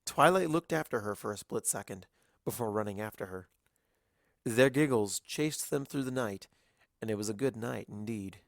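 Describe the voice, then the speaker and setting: Gravelly male story narrator. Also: on the low side, expressive, normal speed, no echo, clean studio sound. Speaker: fan fiction reader, narrator.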